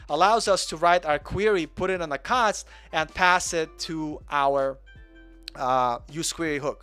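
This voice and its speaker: A man's voice: a little high, fairly bright tone. A young man from Russia giving a casual, rambling live coding walkthrough as the show's host, chatty and self-deprecating. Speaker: coding tutor.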